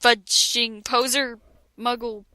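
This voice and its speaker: A woman voicing a hot-tempered, wounded young man from fan fiction, halting and heavily stressing the outrage. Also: on the high side, little pitch movement, bright, forceful tone, no room sound. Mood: outraged, hurt.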